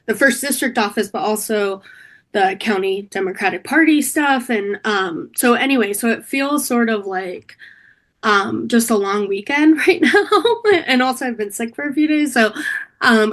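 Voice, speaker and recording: A middle-aged woman from California, slightly hoarse from a cold, talking reflectively in a casual interview, with many pauses and restarts. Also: a little high, animated, a bit bright. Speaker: interview guest, political staffer.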